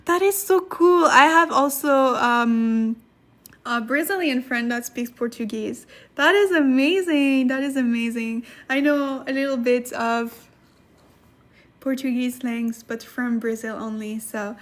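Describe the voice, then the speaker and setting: A woman's voice: high-pitched, unhurried, fairly bright tone. A woman hosting a casual language study livestream, chatting with viewers in a giggly way and repeating herself for emphasis. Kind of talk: casual livestream chat and lesson, reading viewer comments.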